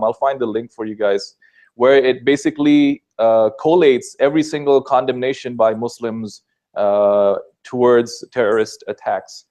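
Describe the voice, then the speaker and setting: A man's voice: mid-range voice, a little mellow. Earnest Sri Lankan man explaining. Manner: earnest, explanatory, many uh fillers. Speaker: guest answering questions about Islam. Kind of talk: casual interview answers.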